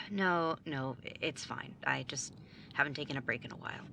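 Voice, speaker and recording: A woman's voice: very deep voice, moderate pace, vocal fry, background noise. A young woman playing a sarcastic, blunt nineteen-year-old younger sibling in a naturalistic audio drama. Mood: defensive, weary. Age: young adult. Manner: sardonic, blunt, casual.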